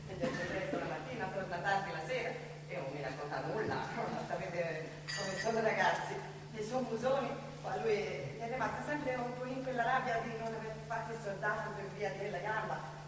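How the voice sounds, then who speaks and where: A woman's voice: medium pitch, normal speed, natural voice. An actress playing a weary, fretful working-class Florentine mother in a wartime stage drama, with a Tuscan accent and a plaintive, rambling way of talking. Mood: worried, sad. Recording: echoey noisy hall, miked from far off.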